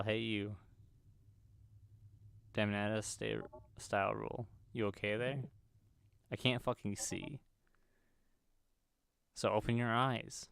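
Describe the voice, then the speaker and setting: Low-voiced man reading a game story aloud. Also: vocal fry, a bit bright, clear, resonant tone, dry recording. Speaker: gaming video host. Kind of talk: reading visual novel script aloud while playing.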